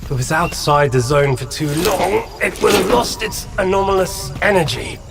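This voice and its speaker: Blunt higher-voiced wasteland smuggler. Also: male voice, lively intonation, normal speed. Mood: wry, businesslike. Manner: blunt, colloquial, needling. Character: gruff, suspicious, hot-tempered smuggler guide in a wasteland. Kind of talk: cinematic video game acting. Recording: clear background noise, night insects.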